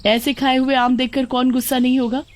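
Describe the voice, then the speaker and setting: A woman voicing a farmer's demanding, easily annoyed wife in an expressive storybook manner. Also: sits high, brisk, pitched above the performer's own voice, lightly acted.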